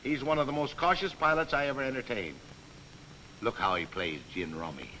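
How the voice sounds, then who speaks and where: A man's voice: mid-range voice, a little fast, sharp tone, close to an everyday voice, low-quality recording. A man playing a slangy, sceptical gatekeeper of dead pilots in a 1940s training film, wisecracking and emphatic. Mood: wry, grumbling. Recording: old film soundtrack, background noise.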